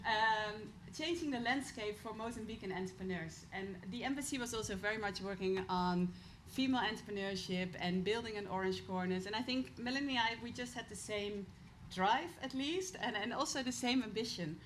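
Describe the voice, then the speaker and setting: A woman's voice: mid-range voice, a little fast, a little hiss or hum. Heartfelt woman from the Netherlands speaking off the cuff. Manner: heartfelt, rambling. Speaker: government official giving remarks. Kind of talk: informal speech from the stage. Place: event hall.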